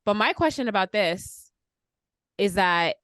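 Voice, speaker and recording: A woman's voice: medium pitch, expressive, a little slow, fairly bright tone, smooth, no room sound, studio quality. A woman hosting a podcast, chatting casually about pop culture. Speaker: podcast host.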